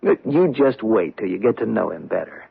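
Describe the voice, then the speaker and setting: An actor playing a genial middle-aged husband in a radio drama, stammering and breezy, often chuckling. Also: male voice, fairly low pitch, expressive, normal speed, gravelly, slightly rough, heavily acted. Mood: amused. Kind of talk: 1970s radio drama acting. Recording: tinny old radio broadcast.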